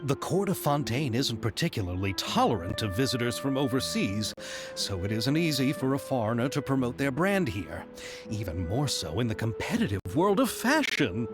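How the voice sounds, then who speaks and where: A man's voice: medium pitch, sing-song, normal speed, lightly acted. A man playing an earnest young local film director, in a video game. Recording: game music behind.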